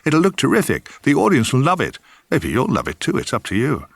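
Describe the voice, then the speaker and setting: A man acts out a brash production assistant for an audiobook. He is emphatic and exasperated, pitched well up and very animated, in a dry close recording. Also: fairly quick, creaky, no echo, exaggerated voice. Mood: breezy. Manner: blunt, emphatic, hissing with exasperation. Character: brash, impatient male film production assistant.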